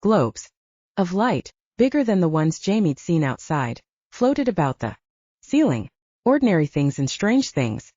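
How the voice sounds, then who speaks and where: Woman reading an audiobook story, voice on the low side, soft mellow tone, kinda lo-fi dry recording. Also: very animated, a little slow, breathy edge, no room sound. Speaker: audiobook narrator. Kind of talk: scripted fiction narration. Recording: dull, lo-fi, narrow sound.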